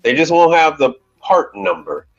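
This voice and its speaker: A man's voice: a little high, big pitch swings, moderate pace. A man in his forties, a commercial appliance technician hosting a casual stream, rambling and swearing lightly as he restarts his sentences. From United States. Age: 40s. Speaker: commercial appliance repair technician and channel host. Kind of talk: casual live-stream chat. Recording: dull lo-fi sound, faint music behind.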